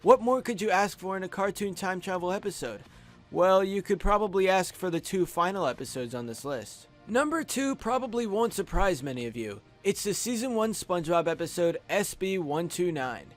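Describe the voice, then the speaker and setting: High-pitched young man narrating a countdown. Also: lively intonation, normal speed, faint music behind. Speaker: video host and reviewer. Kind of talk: scripted top-five countdown commentary. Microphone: close USB studio microphone.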